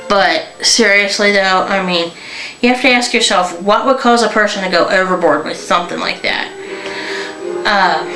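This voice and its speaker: A young adult woman from Northern Kentucky, telling local stories in a casual, halting vlog full of fillers and restarts. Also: medium pitch, reverberant, poor audio. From Northern Kentucky, USA. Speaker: vlogger. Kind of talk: casual rambling storytelling.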